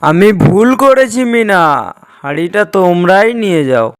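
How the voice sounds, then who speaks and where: Cartoon role: man playing greedy village thief, exclaiming, high clear voice. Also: lively intonation, measured pace. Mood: sorry. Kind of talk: cartoon dialogue.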